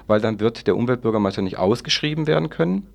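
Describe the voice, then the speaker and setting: A man's voice: medium pitch, expressive, fast talker, vocal fry, a little mellow. A man working as an interviewer, talking off the cuff in a wordy way and circling back over his points. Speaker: radio interviewer. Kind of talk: unscripted interview talk.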